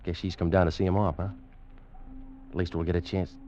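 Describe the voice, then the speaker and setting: An actor in an old-time radio drama playing and narrating as a casual, matter-of-fact, skeptical ship's officer. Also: male voice, deep voice, little pitch movement, brisk, mellow, lightly acted. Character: plain-spoken, skeptical ship's officer, narrating his tale. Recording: dull old radio recording with music.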